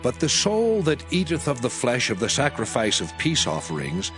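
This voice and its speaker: A man playing God proclaiming sacred laws in a dramatized scripture reading, solemn, deliberate and declamatory. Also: mid-range voice, wildly swooping pitch. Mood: solemn, commanding. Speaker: scripture voice actor. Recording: music under the voice. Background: background music.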